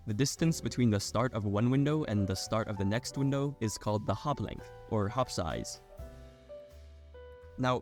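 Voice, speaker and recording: A man's voice: mid-range voice, a little mellow. A young adult man reading a scripted technical explainer as a narrator.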